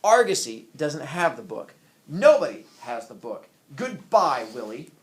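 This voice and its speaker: Man putting on a suspicious psychiatrist wife, higher voice, pointed questions, echoey room, heard from a distance. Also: very animated, moderate pace. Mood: curt. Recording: echoey room, distant mic, faint noise.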